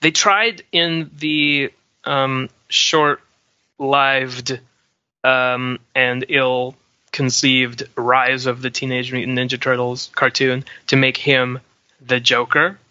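A man in a casual panel discussion, thinking aloud with hesitant pauses as he gives his opinions. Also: medium pitch, little pitch movement, pushed and bright, clear, resonant tone, no echo. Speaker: podcast panelist. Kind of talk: casual pop-culture discussion. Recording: dry but dull lo-fi audio.

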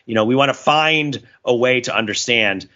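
A man's voice: medium pitch, normal speed, bright, forceful tone. A man co-hosting a podcast, talking analytically and conversationally, explaining at length and building his points through examples. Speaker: podcast co-host. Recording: dull, lo-fi voice recording.

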